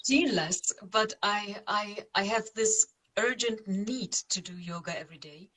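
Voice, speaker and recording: A woman from Austria, a guest sharing her experience over a video call, earnest and halting, repeating words as she searches for them. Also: mid-range voice, a bit bright, poor audio. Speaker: guest, former program participant.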